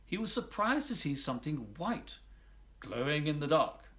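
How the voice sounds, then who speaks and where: A man's voice: a little high, big pitch swings, moderate pace, a bit bright. A man narrating a children's picture book expressively, as a volunteer storybook reader.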